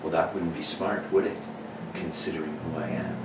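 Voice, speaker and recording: A man's voice: deep voice, steady tone, normal speed, close to an everyday voice. A man playing an earnest, determined newspaper reporter with a secret superhero identity, in a 1940s radio serial reading. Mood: suspicious. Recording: roomy, noisy, distant stage microphone.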